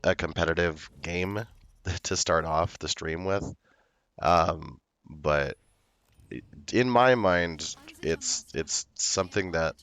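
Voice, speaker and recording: A young man streaming a game, with a hoarse voice from illness, chatting slowly and loosely. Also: deep voice, a bit bright. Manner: slow, rambling, laid-back talk. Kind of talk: casual livestream chat.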